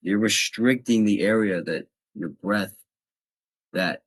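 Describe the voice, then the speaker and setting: A man with a very low, husky voice talks to his viewers in a loose, chatty way. He gives blunt advice and addresses them directly, like a casual vlog tutorial. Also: takes it slowly. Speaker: vlogger giving voice tips. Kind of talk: casual instructional talk to camera.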